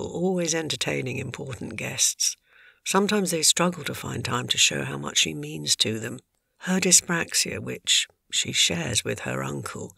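Actor playing anxious servant, heavy creak, sharp edge, breathy, formal, discreet, audio drama. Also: mid-range voice, dry recording, clean studio sound, heavily acted. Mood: polite.

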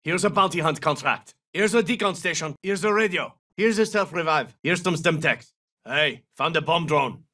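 An actor playing a confident, battle-hardened special forces operator in a military shooter game, giving clipped, terse callouts. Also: male voice, on the high side, normal speed, bright, forceful tone, far from the mic. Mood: businesslike.

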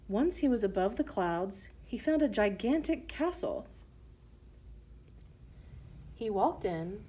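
A woman storyteller reading a scripted children's tale in measured phrases. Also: mid-range voice, mellow.

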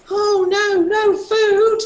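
A woman voicing an excitable, naive little boy in a puppet show, exclaiming and drawing out simple words. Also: extremely high pitch, exaggerated voice. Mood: desperate. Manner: exclaiming, drawn-out words, simple childlike phrasing. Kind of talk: hobby puppet show acting. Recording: roomy, echoing home recording with faint noise.

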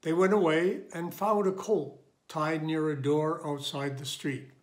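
Slow, higher-voiced older man reading. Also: steady tone. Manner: measured, deliberate. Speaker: lay scripture reader. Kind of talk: scripture reading. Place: indoor room at home.

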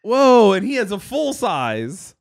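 A man co-hosting a comedy podcast, telling loose, rambling stories and breaking into laughter often. His voice sits a little high for a man. The recording is dry and close-miked. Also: huge pitch swings, takes it slowly, gravelly, dry recording. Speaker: podcast co-host. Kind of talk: casual comedic chat.

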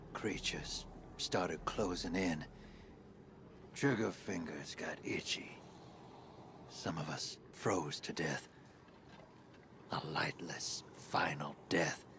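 A gravelly, weary space drifter. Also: male voice, low voice, animated, full character voice. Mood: grim. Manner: slow, drawling, drops to a hushed rasp. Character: grizzled male space drifter, weary and wry. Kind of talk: video game cutscene acting. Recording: game audio with noisy ambience.

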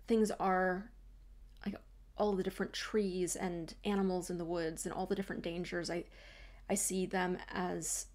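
Soft-spoken woman with a gravelly edge. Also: lowish voice. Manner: thoughtful, gestures a lot, frequent restarts and soft trailing asides. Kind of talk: reflective talk to camera. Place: small home room, indoors.

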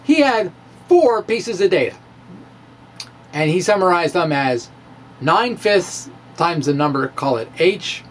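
Middle-aged man, theatrical science explainer, highly animated intonation, drawn-out emphatic words, faint room noise. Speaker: science video blogger. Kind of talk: dramatic reading of a physics script to camera. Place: small room with bookshelves, indoors. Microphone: microphone on a stand, close in front.

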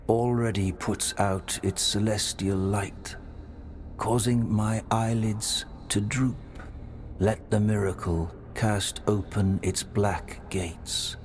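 Old undead priest in a video game, super slow and lowish, solemn, tired, mournful. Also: male voice, a little hiss or hum. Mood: weary, resigned. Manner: grave, ceremonious, lamenting. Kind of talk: slow dramatic game monologue.

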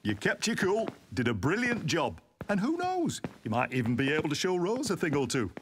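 Cheeky, commanding male time traveller played by a man, animated pitch, audio drama with music behind. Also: moderate pace. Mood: upbeat, teasing. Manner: breezy, emphatic, teasing.

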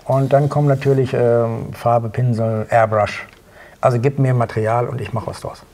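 Middle-aged male interview guest, rough and creaky, medium pitch, plain-spoken and matter-of-fact. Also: level tone, normal speed, darkish tone. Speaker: interview guest, sculptor and illustrator. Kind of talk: casual interview answers. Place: small indoor talk-show studio.

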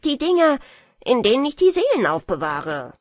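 A woman's voice: high voice, huge pitch swings, moderate pace, no room sound, clean studio sound, pitched above the performer's own voice, exaggerated voice. A woman voicing a genial old male merman who talks in a coaxing, matter-of-fact way, reading a storybook. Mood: jovial. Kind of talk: storybook character voice.

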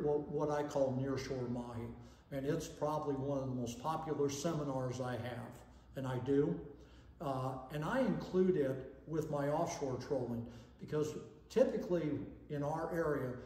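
A man's voice: medium pitch, warm tone. An older man giving a fishing seminar to camera, explaining in a folksy, instructional way and checking in with "okay?". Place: indoor room with fireplace and banner. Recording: echoey room, long reverb.

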